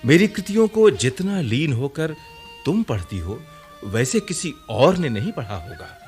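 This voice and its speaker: An ardent poet-king, richly expressive voice. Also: on the high side, a little slow, soft background music. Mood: ardent, solemn. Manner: declamatory, romantic, flowery. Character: amorous poet-king of ancient Sinhala, playful, ardent. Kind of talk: theatrical radio drama in formal Sanskritized Hindi.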